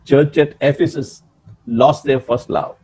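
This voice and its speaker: A man in his sixties preaching a sermon in an emphatic, animated way, often calling out to his listeners, over a webcam in a small room. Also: mid-range voice, unhurried, slightly rough. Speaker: pastor.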